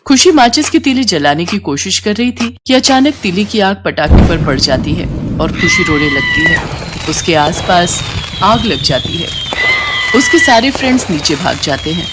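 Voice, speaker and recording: A woman's voice: mid-range voice, huge pitch swings, normal speed, very noisy. A woman storyteller reading scripted narration for a children's moral tale.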